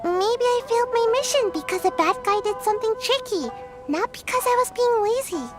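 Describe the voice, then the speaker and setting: A woman playing an excitable, naive little girl in an English-language video game. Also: very high-pitched, brisk. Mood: earnest. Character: excitable childlike little girl, naive, eager and sleepy-headed. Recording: game music clearly playing behind the voice. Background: video game music.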